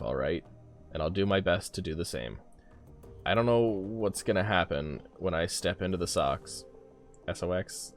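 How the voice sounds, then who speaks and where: A man voicing an excited, obsessive scientist from a video game, jittery and urgent as he reads the dialogue. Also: low-pitched. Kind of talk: reading game dialogue aloud.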